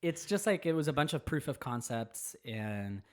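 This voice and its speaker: A man's voice: medium pitch, animated, normal speed. Laughing, easygoing man co-hosting a tech podcast. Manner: laughs often, loose with fillers. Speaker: podcast co-host. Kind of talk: casual tech chat. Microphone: streaming setup mic.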